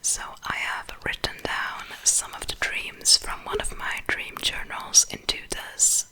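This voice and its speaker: A young woman with a Swedish accent, whispering softly and slowly as an ASMR creator. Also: poor audio. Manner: soft unvoiced whisper, slow and unhurried.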